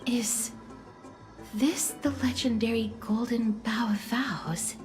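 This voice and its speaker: An actress playing a gentle young goddess of death in an anime game, earnest, reserved and politely formal. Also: female voice, on the high side, fairly even intonation, slow pace, big-room sound, music in the background. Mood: curious.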